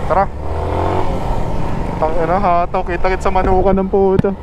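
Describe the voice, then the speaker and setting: A man with a high voice talks casually through a helmet intercom. His speech is slangy and peppered with swearing, and heavy wind and motor noise surrounds it. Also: little pitch movement, moderate pace. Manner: slangy, swearing banter among friends. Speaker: motorcycle vlogger leading a group ride. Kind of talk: casual chat over rider intercom. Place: outdoors, riding a motorcycle on the road. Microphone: helmet intercom microphone. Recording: loud engine, wind and road noise.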